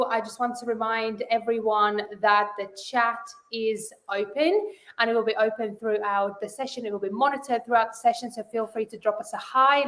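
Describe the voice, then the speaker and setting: A woman's voice: a little high, echoey room. A woman hosting a live online panel, welcoming viewers and framing the topic with many fillers and restarts. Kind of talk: live webinar moderation.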